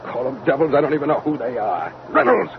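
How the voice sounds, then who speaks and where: An actor playing a hot-headed, impatient army major on a spaceship in an old-time radio drama, barking demands in agitation. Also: male voice, medium pitch, very animated, fast talker, gravelly. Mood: furious, frantic. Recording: muffled old radio recording with noise.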